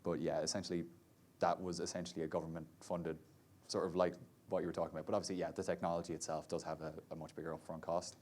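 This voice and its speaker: A man's voice: low voice, level tone, fast talker, hardly any pauses, darkish tone. A man, a VR developer, speaking casually on a panel in a chatty way, full of "sort of" and "like". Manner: chatty, many fillers like "sort of" and "like".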